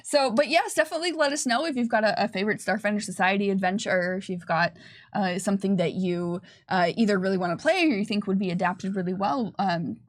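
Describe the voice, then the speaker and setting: A woman's voice: mid-range voice, fast talker, dry recording, studio quality. A woman presenting a livestream as a game developer, chatting casually in a playful, teasing way.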